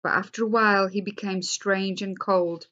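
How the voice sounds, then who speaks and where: A woman reading aloud as a gentle, thoughtful grown man who is a scientist. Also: mid-range voice, fairly even intonation, normal speed, highs cut off, lightly acted. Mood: earnest. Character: kind, thoughtful adult male scientist.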